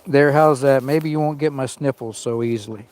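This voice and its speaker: A man's voice: mid-range voice, normal speed, a little mellow, slight background noise, low-quality recording. Chatty man livestreaming from the cold woods. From Arizona. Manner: rambling, chatty, greets viewers by name. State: sniffling from a cold nose. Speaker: camping livestream host. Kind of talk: casual livestream chat greeting viewers. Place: outdoors in cold woods by a stove. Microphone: small mic worn on him.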